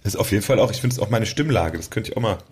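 A man's voice: fairly low pitch, lively intonation, racing, husky. A man hosting a podcast in casual chat, rambling a little and correcting himself mid-sentence. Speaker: podcast host. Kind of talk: casual chat about music.

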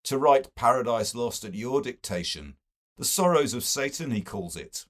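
A man's voice: mid-range voice, expressive, normal speed, pitched above the performer's own voice, lightly acted. Man playing a sardonic, condescending older scholar. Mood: mocking. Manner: sedate, mocking, superior.

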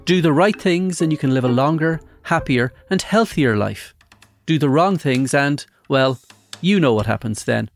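An Irish man hosting a news podcast, introducing the topic and asking his guest questions in a measured, conversational way. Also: a little high, lively intonation, vocal fry, soft background music. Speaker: podcast host.

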